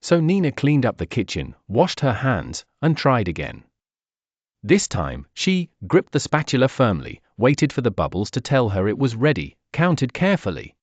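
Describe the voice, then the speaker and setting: A man's voice: mid-range voice, wildly swooping pitch, normal speed, no echo. A man narrating a scripted children's story as a read-aloud.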